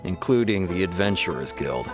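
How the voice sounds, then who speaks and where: An actor playing a stern, dutiful military police officer in a video game, formal and a little exasperated. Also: male voice, deep voice, expressive, moderate pace. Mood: businesslike. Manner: formal, matter-of-fact. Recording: game music behind the voice.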